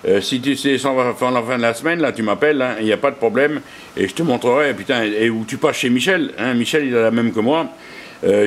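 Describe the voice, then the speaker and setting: A man's voice: medium pitch, a little hiss or hum. A man working as an amateur radio operator, chatting casually and colloquially, with plenty of radio jargon. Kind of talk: casual radio contact chat.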